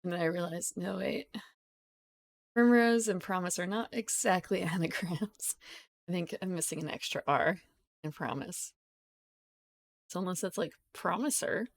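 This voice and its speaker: A woman streamer with a lowish, gravelly voice, still croaky from just waking up. She chats loosely with her viewers, wandering off topic, giggling often and correcting herself as she goes. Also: moderate pace, pauses often. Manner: rambling, giggly, self-correcting. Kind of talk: casual live-stream chat.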